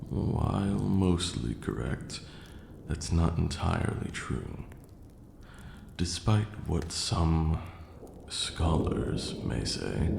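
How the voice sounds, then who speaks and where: A man playing a stern, aloof vampire lord in an ASMR roleplay, speaking in a formal, haughty way with a gravelly, breathy near-whisper. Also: low voice, heavily acted. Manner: formal, haughty, slow scolding lecturing delivery. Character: stern aloof ancient male vampire lord, grudgingly caring. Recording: roomy echo, clear background noise.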